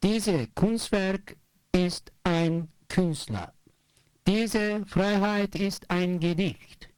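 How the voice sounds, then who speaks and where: A speaker reciting short repetitive poetic lines in a measured way. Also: medium pitch, darkish tone, clean tone, no room sound, low-quality recording.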